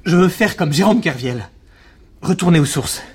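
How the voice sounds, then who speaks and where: An actor playing a weary, earnest ex-trader in an audio drama, with a melodramatic manner. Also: male voice, fairly high pitch, expressive, moderate pace, darkish tone, breathy edge. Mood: defiant. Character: weary, dramatic male ex-trader quitting a pasta cult. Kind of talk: podcast audio drama acting.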